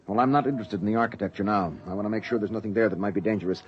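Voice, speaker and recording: An actor playing a stern, cautious rocket captain in a vintage radio drama, speaking with curt command. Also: male voice, fairly low pitch, very fast, muffled recording, lightly acted. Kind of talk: 1950s radio drama acting. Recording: vintage radio recording with faint noise.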